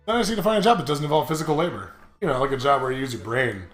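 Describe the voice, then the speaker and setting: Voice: mid-range voice, very fast. A person giving casual, joking commentary on a visual novel, reading lines aloud and bantering with mock insults. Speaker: let's play commentator. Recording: roomy echo, music behind.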